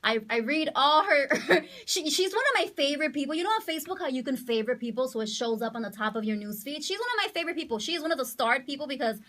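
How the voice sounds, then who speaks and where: A young woman with a high, bright voice hosts a casual call-in talk show. She is chatty and animated and laughs easily. Her voice sits a little back from the mic. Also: quick pace, few pauses, recorded from a distance. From Dominican American, New York.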